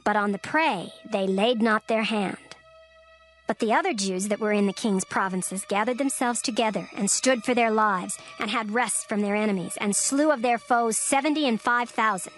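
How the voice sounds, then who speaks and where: A woman narrating scripture in a steady, formal reading with careful pacing. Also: mid-range voice, normal speed, faint music behind.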